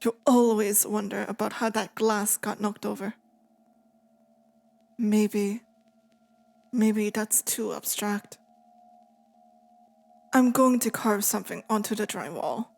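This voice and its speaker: A woman's voice: mid-range voice, gravelly, soft background music. A woman playing a lonely, clingy demon in an intimate ASMR roleplay, speaking tenderly and hesitantly. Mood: wistful, uneasy. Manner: slow, hesitant, tender, softly pleading. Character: lonely, clingy female sleep paralysis demon, wistful and possessive.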